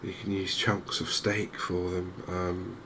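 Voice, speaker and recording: A soft-spoken man with an English accent giving unhurried, hesitant ASMR commentary over a video game. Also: deep voice, flat delivery, gravelly, background noise. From England. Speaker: gaming video host.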